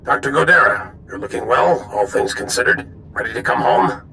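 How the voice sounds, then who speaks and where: An old military commander in a sci-fi game, resolute and brisk. His authoritative voice is raised in pitch and comes with some background noise. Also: lively intonation, creaky, sharp tone, heavily acted, rough recording. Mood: proud, brisk. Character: resolute old Republic general.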